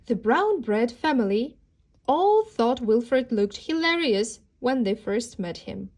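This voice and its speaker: A woman's voice: a little high, sing-song, slow pace, a little mellow. A woman narrating a children's storybook as a teacher would, expressively, with dramatic emphasis on key words. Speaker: teacher reading aloud.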